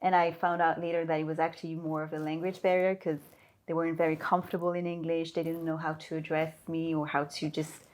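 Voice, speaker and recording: A woman's voice: deep voice, a little fast. A woman in her thirties from Belgium, a recruiter talking casually as an interview guest. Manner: relaxed, conversational, slight restarts.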